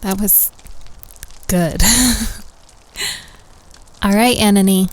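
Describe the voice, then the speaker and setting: A woman's voice: mid-range voice, drawn-out words, low-quality recording. A woman playing an easygoing student who leads a campfire story circle, chatting casually in an audio roleplay. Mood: amused.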